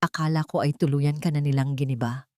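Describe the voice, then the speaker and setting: A woman narrator puts on a worn old mechanic who speaks in a hushed, cracking voice, frightened and full of grief. The voice stays fairly low, and the recording is close and dry. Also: steady tone, brisk, no echo, close to an everyday voice. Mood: shaken. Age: older. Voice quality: voice cracking with emotion. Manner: hushed, pleading. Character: aging male mechanic, grieving and fearful.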